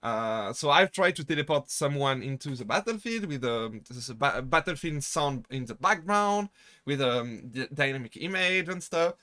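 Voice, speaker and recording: A man with a French accent, chatting casually on a live stream and rambling through halting restarts and self-deprecating asides. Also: medium pitch, animated, sharp tone, no room sound. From France. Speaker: VTuber streamer.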